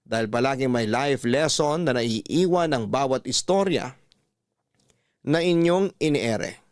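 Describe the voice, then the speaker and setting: A man's voice: mid-range voice, no echo, clean studio sound. Filipino radio storyteller, man, dramatic narration. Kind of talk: scripted dramatic narration, reading a listener's letter.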